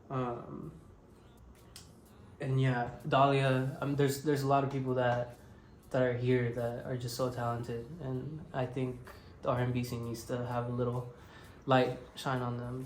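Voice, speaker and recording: A man's voice: medium pitch, roomy sound, a little hiss or hum. A young man from Mexico answering interview questions in a laid-back, rambling way with many fillers. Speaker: interviewed musician.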